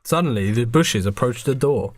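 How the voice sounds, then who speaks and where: A man's voice: medium pitch, expressive, normal speed, dry recording. A man narrating a comic radio play on a podcast in a mock-dramatic storytelling manner.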